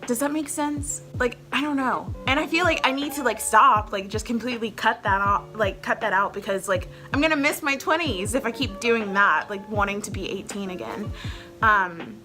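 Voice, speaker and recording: A woman's voice: fairly high pitch, moderate pace, music in the background. A woman in her twenties chatting casually to camera at home as a vlogger host, rambling and filling her talk with "like". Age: 20s. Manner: chatty, rambling, heavy use of "like" fillers.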